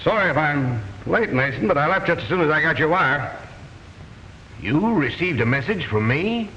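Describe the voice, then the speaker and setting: An actor playing a steady, matter-of-fact man in a 1940s radio drama, on a dull reverberant old recording. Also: on the high side, normal speed, slight background noise. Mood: grave.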